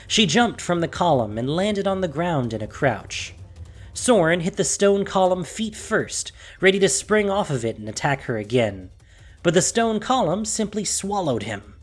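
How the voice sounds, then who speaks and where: A woman with a deep voice narrates a dramatic story. Her pitch moves widely for emphasis. The delivery is theatrical and intense, clearly scripted narration. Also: very animated, moderate pace.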